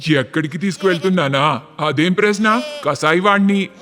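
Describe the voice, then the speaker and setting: A man voicing a gruff, hard-nosed village butcher in a cartoon, blunt and scornful. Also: high-pitched, normal speed, sharp tone, long reverb tail.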